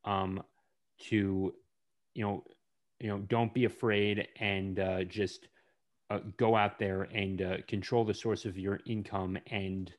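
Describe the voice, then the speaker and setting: A man hosting a podcast interview in a casual, halting way, often repeating and stumbling over his words. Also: on the low side, little pitch movement. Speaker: podcast host.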